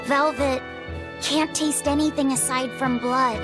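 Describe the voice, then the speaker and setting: A woman playing a gentle, earnest young boy in a video game, speaking sincerely and a little hesitantly. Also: high voice, fairly even intonation, unhurried, loud music behind the voice. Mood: matter-of-fact. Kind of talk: English video game voice acting.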